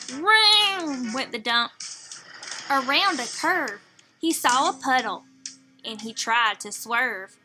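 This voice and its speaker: Female storybook reader for preschoolers, high-pitched and unhurried, expressive narration over music. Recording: music behind the voice.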